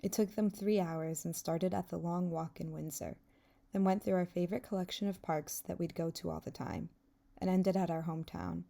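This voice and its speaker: Young female vlog narrator, slightly low and creaky, reflective personal voice-over. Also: fairly even intonation, moderate pace, warm tone. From England until age ten, then the US. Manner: reflective, wistful. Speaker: vlogger narrating her own video.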